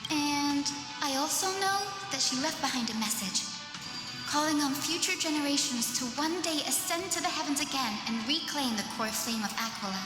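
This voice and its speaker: An actress playing a gentle but resolute young healer woman in a fantasy game, with earnest, formal delivery. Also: sits high, animated, moderate pace. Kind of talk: scripted fantasy game acting. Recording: echoey hall reverb, music behind.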